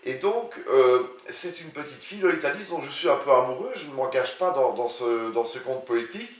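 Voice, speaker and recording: A man's voice: medium pitch, animated, recorded from a distance. Older man, author, hesitant repeated words, roomy echo, slightly off-mic. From Belgium. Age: 60s. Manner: halting, repeats words while searching for them. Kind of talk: interview answers. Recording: roomy sound, voice a bit off-mic.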